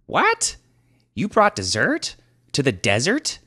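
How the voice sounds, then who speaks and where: A man's voice: a little high, wildly swooping pitch, takes it slowly, pushed and bright, no echo, clean studio sound, voice pushed up, full character voice. A man voicing a scornful, irreverent thief who speaks in derisive slang in a comic fantasy story. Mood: outraged. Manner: derisive, colloquial.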